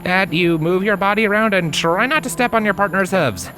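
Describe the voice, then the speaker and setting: A woman's voice: mid-range voice, big pitch swings, fairly quick, natural voice. A woman voicing an awkward, nervous, bookish male pony in a dramatised fan fiction reading, hesitant, with music behind. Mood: flustered.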